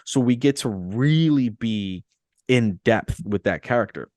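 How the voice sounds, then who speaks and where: A man's voice: medium pitch, lively intonation, a little slow, darkish tone, dry recording, clean studio sound. A man co-hosting a podcast, talking casually in an explanatory way and restating points for emphasis. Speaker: podcast co-host. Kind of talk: casual, conversational discussion.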